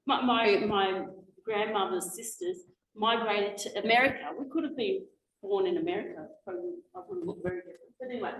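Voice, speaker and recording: Guest speaker, Australian woman, 40s: chatty and warm-paced, slightly high voice, echoey room sound. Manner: chatty, animated, quick asides and restarts. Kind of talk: public talk with slides. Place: lecture room, indoors. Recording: echoey lecture room, faint noise.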